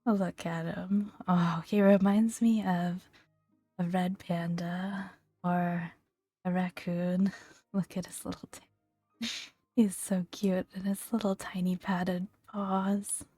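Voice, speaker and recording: Hushed, slow soft-spoken ASMR woman. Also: fairly low pitch, level tone, no room sound, studio quality, faint music behind. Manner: gentle, hushed, often breaking into near-whisper. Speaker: host of a gaming ASMR video. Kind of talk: soft-spoken ASMR, relaxed commentary and read-aloud entries.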